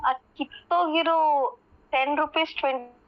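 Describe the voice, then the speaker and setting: A woman's voice: high-pitched, fairly even intonation, a little slow, no echo. A woman on a phone call, taunting and scornful in a heated argument.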